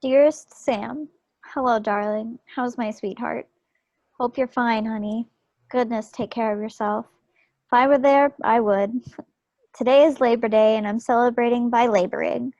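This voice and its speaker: A plucky, loving young wartime wife played by a woman reading a stage play. Her voice sits fairly high, and she chats tenderly and easily. The video call sound is dry and a little dull. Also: fairly even intonation, a little slow, darkish tone, dry recording. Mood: tender, playful. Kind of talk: dramatic table read of a stage play. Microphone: computer mic on a video call.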